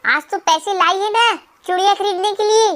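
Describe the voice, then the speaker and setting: A woman voicing an excitable female sparrow shopkeeper in a children's cartoon, with a squeaky, childlike voice and dramatic exclamations. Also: normal speed. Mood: brisk, scolding.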